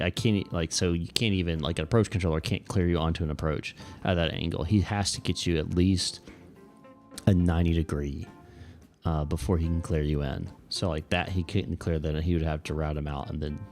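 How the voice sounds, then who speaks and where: A man hosting a livestream as an air traffic controller, chatting and explaining in a laid-back, informal way, with some radio phraseology. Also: low-pitched.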